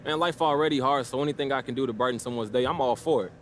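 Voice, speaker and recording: A man's voice: mid-range voice, rapid-fire, a bit bright, slight background noise, natural voice. A man playing a young, easygoing but guarded basketball rookie in a video game, answering the press in a casual, hesitant, diplomatic way. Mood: upbeat, grateful.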